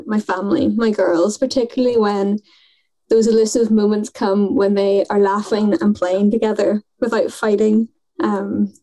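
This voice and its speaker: An earnest, articulate woman in her thirties, talking thoughtfully in a podcast conversation. Her voice is mellow and warm, pitched a little high. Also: rough recording. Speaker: mission worker.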